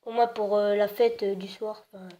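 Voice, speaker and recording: A woman's voice: mid-range voice, level tone, normal speed, warm tone. A woman making a prank phone call as a customer, hesitant and meekly insistent in her manner. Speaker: prank caller posing as a customer. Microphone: telephone. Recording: dull, band-limited phone line.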